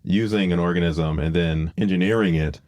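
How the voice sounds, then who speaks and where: A man's voice: low-pitched, a little fast, darkish tone, dry recording. A man hosting a podcast, explaining things in a casual discussion and thinking aloud as he goes. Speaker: podcast host.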